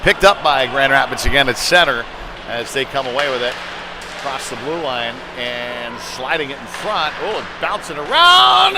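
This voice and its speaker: A man calling a youth-league style hockey game live, as a play-by-play commentator following the puck. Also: high-pitched. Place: indoor ice rink during a game. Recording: noisy arena, clatter and hum behind.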